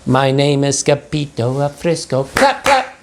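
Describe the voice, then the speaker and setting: A man voicing a boisterous young boy kitten who plays a Chihuahua swordsman in a storybook, excitable and showy, with a mock Spanish accent. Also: medium pitch, a little slow. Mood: showy singing chant. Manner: excitable, sing-song, showy.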